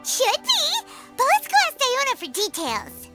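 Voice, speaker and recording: A little chirpy game sidekick with an extremely high, childlike voice. She gushes with curiosity, her pitch bouncing all over as music plays behind her. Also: huge pitch swings, unhurried, pushed and bright, exaggerated voice. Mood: excited, curious. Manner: bubbly, gushing, inquisitive. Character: small excitable girl sidekick, curious and chirpy. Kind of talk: video game dialogue.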